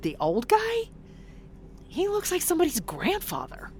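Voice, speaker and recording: An actress playing a blunt, skeptical, streetwise bus passenger in an audio drama, speaking colloquially. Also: female voice, very high voice, wildly swooping pitch, a little slow, a little mellow, full character voice. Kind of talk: audio drama acting, conversational. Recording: faint background ambience noise.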